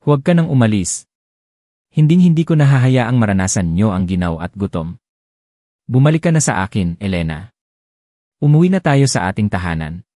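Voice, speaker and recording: A man's voice: fairly low pitch, sing-song, moderate pace, pauses often, warm tone, no echo, studio quality. A man voicing a remorseful grown man who pleads with the woman he lost. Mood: pleading, remorseful. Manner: pleading, emotional delivery.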